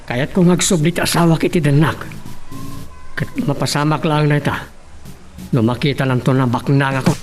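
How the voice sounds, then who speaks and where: Wary, hard-up husband, higher male voice acted. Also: steady tone, moderate pace, long pauses, over music, natural voice. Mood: bitter. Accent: Ilocano. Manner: wary, questioning. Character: hesitant, poor husband drawn into crime for money. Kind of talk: Ilocano radio-style drama acting.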